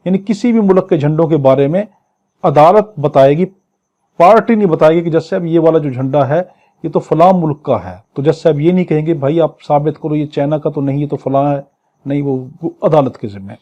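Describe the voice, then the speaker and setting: A man's voice: on the high side, fairly quick, warm tone. A man with a Pakistani accent giving an exam lecture, reading clauses aloud and explaining them in a didactic way, often answering his own questions. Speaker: law lecturer.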